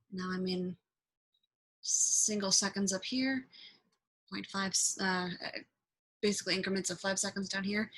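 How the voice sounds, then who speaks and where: A woman presenting a software tutorial in an informal, rambling way, with offhand asides. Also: medium pitch, husky, poor audio. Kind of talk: casual screen-recording software tutorial.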